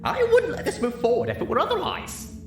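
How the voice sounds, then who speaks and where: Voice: high voice, wildly swooping pitch, fairly quick, roomy sound, music in the background, pitched above the performer's own voice. A storyteller voicing a cocky military pegasus mare with a confident, clipped delivery.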